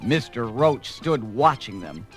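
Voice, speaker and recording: A man reads a scripted children's tale as narrator. His voice is medium in pitch and highly expressive in intonation. Faint music plays underneath. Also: takes it slowly.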